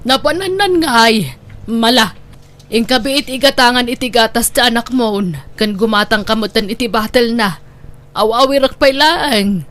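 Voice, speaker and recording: Woman acting a concerned older Ilocano auntie, higher-pitched. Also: moderate pace, fairly bright tone, natural voice. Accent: Ilocano Filipino. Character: concerned, observant older woman neighbour, called nana. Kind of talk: dramatic radio-style drama acting in Ilocano.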